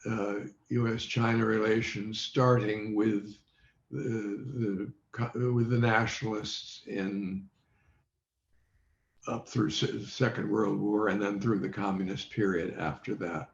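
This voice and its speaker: An older man talks as a guest on a video call, very slowly and haltingly, searching for his words. The sound is muffled and quite echoey. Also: lowish voice, steady tone, vocal fry, slight background noise. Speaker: interview guest, former quiz show contestant. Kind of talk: interview conversation on a video call.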